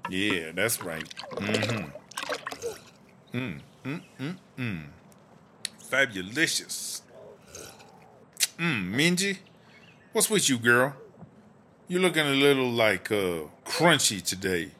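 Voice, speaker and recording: A man playing a sassy, gossipy, foul-mouthed streetwise woman inmate in a comedy audio drama, with slangy, drawn-out exclamations. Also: medium pitch, big pitch swings, pushed and bright, a little hiss or hum, exaggerated voice. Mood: playful, sassy. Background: music.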